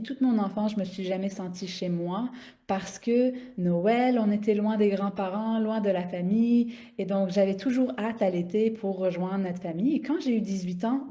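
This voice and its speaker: A woman's voice: mid-range voice, normal speed, mellow. A woman, telling personal stories in a casual, unscripted way, often hesitating and repeating small words as she searches for the next one. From raised in the Middle East (Saudi Arabia, Dubai) in a Syrian-Canadian family. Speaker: health coach and business owner hosting a live stream. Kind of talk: spontaneous casual personal storytelling.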